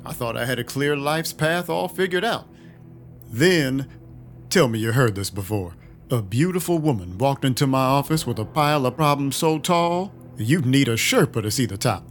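An actor playing a world-weary vampire detective, narrating an audio drama in dry, deadpan noir style. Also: male voice, mid-range voice, normal speed, lightly acted. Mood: wry, reflective. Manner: wry, deadpan. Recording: music playing behind the voice. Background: background music.

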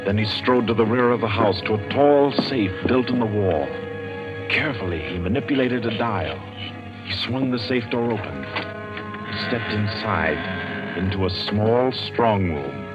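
A man's voice: fairly low pitch, animated, measured pace. A man announcing and narrating a 1940s radio drama in a formal, theatrical way. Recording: dull lo-fi old radio recording with music.

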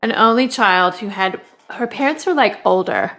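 A woman in her forties from Western Australia, her Australian accent softened toward American, co-hosting a podcast in a chatty, rambling way. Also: mid-range voice, lively intonation, moderate pace. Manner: chatty storyteller, many likes and restarts. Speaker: podcast co-host. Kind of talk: casual chat.

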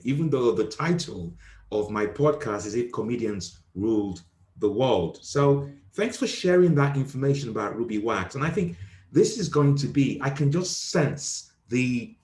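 Male podcast host, put-on British accent, grandiose and formal with deadpan delivery, recorded in a roomy, echoey space. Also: mid-range voice. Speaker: podcast host in comedic character as a self-styled president. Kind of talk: deadpan comedic interview. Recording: roomy echo.

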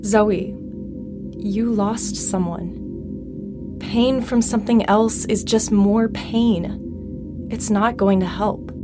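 Frank young best friend, music behind. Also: female voice, medium pitch, steady tone, slow, pauses often. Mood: earnest, consoling. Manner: frank, wry, gentle. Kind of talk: naturalistic audio drama acting.

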